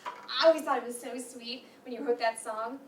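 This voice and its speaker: Female, high-pitched, actress as eager, earnest old friend in a comedy-drama reading. Echoey room, faint noise. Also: fairly even intonation, normal speed, close to an everyday voice. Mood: nostalgic. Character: earnest, peacemaking old childhood friend, a grown woman. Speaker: stage actor. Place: meeting room used for a staged reading. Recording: roomy, distant mic, faint noise.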